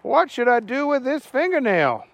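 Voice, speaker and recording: A man voicing a clumsy, dim-witted young male fry cook who sounds whiny and bewildered. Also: very high voice, big pitch swings, normal speed, dry recording, lo-fi sound, pitched above the performer's own voice, exaggerated voice.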